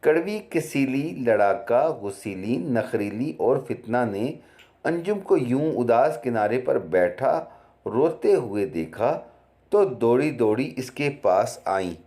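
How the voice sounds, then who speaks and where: A man narrating a children's fairy tale in a measured, deliberate storytelling manner. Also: medium pitch, big pitch swings, mellow.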